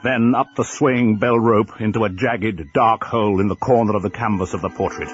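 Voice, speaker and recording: An actor playing a grave storyteller, narrating a horror radio drama in a deliberate, suspenseful and literary manner. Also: male voice, on the low side, faint music behind, close to an everyday voice. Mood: urgent, suspenseful. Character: grave, ominous male storyteller of a horror tale. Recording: dull, lo-fi, noisy radio drama recording.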